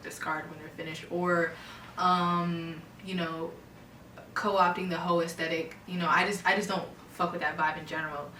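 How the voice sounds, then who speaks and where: A woman's voice: lowish voice, bright, forceful tone, a little hiss or hum. A young adult woman from Baltimore, a guest in a casual interview, talking bluntly and frankly with plenty of swearing and fillers. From Baltimore, USA. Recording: echoey room, voice a bit far from the mic.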